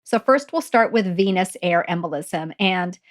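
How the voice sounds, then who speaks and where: A woman hosting a nursing podcast, her voice a little croaky and gravelly from a lingering cough, explaining step by step and repeating key points. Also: on the low side, lively intonation, moderate pace. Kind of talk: casual teaching talk.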